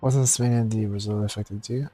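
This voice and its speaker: A man's voice: lowish voice, steady tone, normal speed, dark tone, soft background music. A man giving casual livestream commentary as he plays a game and reads choices aloud. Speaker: game streamer.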